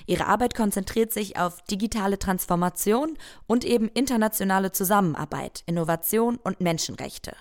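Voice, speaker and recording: A woman host reading a scripted podcast introduction in a clear, friendly voice. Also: medium pitch, brisk.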